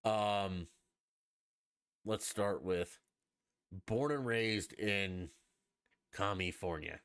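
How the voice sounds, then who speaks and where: Blunt, low-voiced man ranting on a podcast. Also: extremely slow, fairly bright tone, clean tone, no room sound, studio quality. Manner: blunt, profane and emphatic. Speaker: podcast host. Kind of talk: opinionated solo podcast rant.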